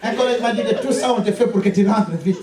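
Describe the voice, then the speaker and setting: Fervent preacher in an echoey church. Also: male voice, very high-pitched, steady tone, fast talker, heavy background noise. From Congo. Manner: fervent, punctuates with repeated hallelujahs, sometimes shouts; stumbles and repeats words. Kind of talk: sermon with personal testimony. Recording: roomy church hall, mic at a distance, congregation audible. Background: congregation responding.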